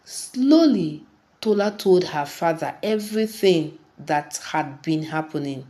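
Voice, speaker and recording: A woman narrates a scripted folktale in a slightly low voice. Her delivery is dramatic and very unhurried, with pitch that rises and falls widely from phrase to phrase. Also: lively intonation, warm tone. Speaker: storyteller.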